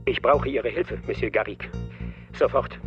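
A man's voice: mid-range voice, normal speed. A worried doctor in a radio thriller, heard through a dull telephone filter with prominent music behind. His delivery is clipped and urgent. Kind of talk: radio crime drama acting. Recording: dull telephone-like sound over loud music.

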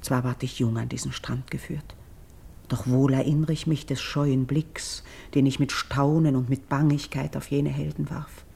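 An actress playing a grave, noble young priestess in a classical verse radio drama, speaking with solemn, measured dignity. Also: female voice, a bass voice, steady tone, creaky, mellow, husky, full character voice. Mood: solemn, wistful.

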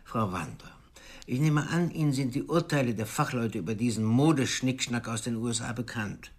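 A man's voice: medium pitch, normal speed, natural voice. A man playing a condescending senior psychiatrist in a radio drama, formal and patronising. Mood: dismissive. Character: condescending male senior psychiatrist, sceptical and authoritative.

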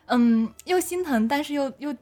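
A woman's voice: high voice, expressive, normal speed, mellow. A woman speaking as an audio drama director in a casual, unscripted interview, hesitant and often repeating words as she finds her phrasing.

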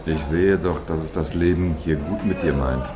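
An actor playing a pompous, blunt middle-aged publishing boss in a radio play. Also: male voice, a bass voice, moderate pace, a crowd in the background. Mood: probing. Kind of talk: German radio play dialogue. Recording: music behind the voice. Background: music.

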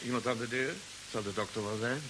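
An actor playing a sceptical, impatient husband in an isolated farmhouse, in old-fashioned radio drama style, with a down-to-earth, dismissive manner. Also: male voice, medium pitch, steady tone, fast, heavy background noise, natural voice. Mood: exasperated. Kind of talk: 1950s radio drama acting.

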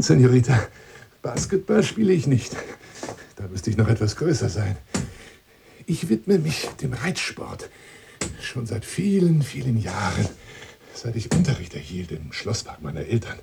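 An actor playing a lonely, boastful gentleman courting by telephone in formal, flowery German radio drama style, moving between murmurs and outbursts. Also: mid-range voice, gravelly, noisy background. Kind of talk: radio drama monologue as one side of a phone call.

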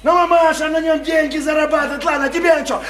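In a roomy, faintly noisy recording, a coarse working-class bully with a very high-pitched voice. He jeers in slang and hammers at the same phrases, a domineering married lover in a radio drama. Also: steady tone, quick pace, a bit bright. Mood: scornful, irritated. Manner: coarse slangy speech, jeering, repeats phrases insistently. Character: crude, bullying married working-class man, wheedling then threatening. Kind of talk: Russian radio play acting.

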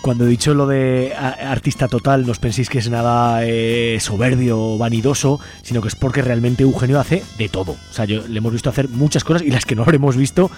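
A man's voice: medium pitch, fairly even intonation, fairly quick, few pauses, a little mellow, faint music behind. A man hosting a radio show, chatting informally through a loosely improvised interview. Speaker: radio host.

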